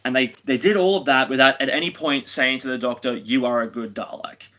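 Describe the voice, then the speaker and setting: Male podcast co-host, bright forward voice, informal review chat, halting delivery. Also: mid-range voice.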